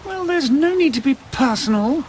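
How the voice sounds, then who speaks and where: An English actor playing a petty, chatty, deadpan Grim Reaper in a radio comedy. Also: male voice, very high-pitched, normal speed, a little hiss or hum.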